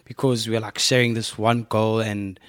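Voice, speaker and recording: A man from Cape Town with a South African accent, giving casual, halting interview answers as a guest artist. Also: fairly low pitch, flat delivery, no echo, clean studio sound. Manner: halting, repeats words and starts over. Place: gallery space.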